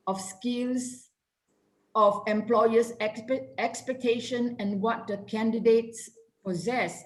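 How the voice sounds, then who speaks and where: A woman giving a formal introduction of a guest speaker at a club meeting over a video call, speaking carefully with restarts. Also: mid-range voice. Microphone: video call microphone. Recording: echoey room.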